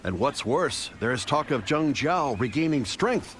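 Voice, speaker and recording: A worried game army commander, earnest and urgent, pitch all over, bit of background ambience. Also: male voice, big pitch swings, unhurried, a bit bright, a little hiss or hum. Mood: alarmed. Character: anxious, earnest male military commander. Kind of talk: video game cutscene acting.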